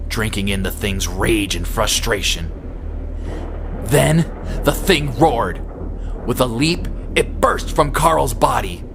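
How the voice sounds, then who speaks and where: A man reading a horror story as a dramatic narrator, in a grave, deliberate, suspenseful way. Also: on the low side, gravelly, background noise.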